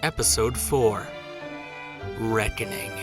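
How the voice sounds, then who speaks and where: A man announcing an audio drama episode in a solemn, deliberate manner. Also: on the low side, over music. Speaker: narrator. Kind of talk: scripted show intro.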